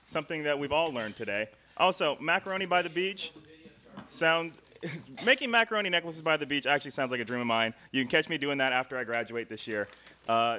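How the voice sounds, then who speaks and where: A man's voice: fairly high pitch, pushed and bright, slight background noise. A man in his twenties hosting a live show in a casual, chatty, jokey way. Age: 20s. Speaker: host. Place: indoor studio. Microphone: handheld microphone on stand.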